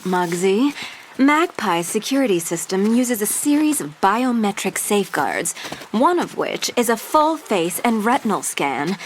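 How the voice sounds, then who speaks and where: A woman's voice: mid-range voice, slight background noise, lightly acted. A woman playing a smug, theatrical crew leader of thieves in an audio drama, teasing and self-assured. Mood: smug, playful. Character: suave, playful female master thief, crew leader.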